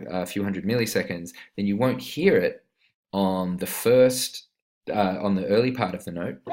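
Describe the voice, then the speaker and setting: A man with a fairly low voice narrates a software tutorial in an informal, step-by-step way. He explains like an instructor at his computer, with synth instrument sounds clearly heard along with his voice. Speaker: instructor of a music production tutorial. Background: synth instrument notes played during the demonstration.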